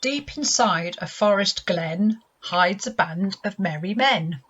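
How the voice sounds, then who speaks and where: A woman's voice: mid-range voice, lively intonation, slow pace, fairly bright tone, breathy edge. A woman speaking scripted pantomime lines as a narrator. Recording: dull muffled sound.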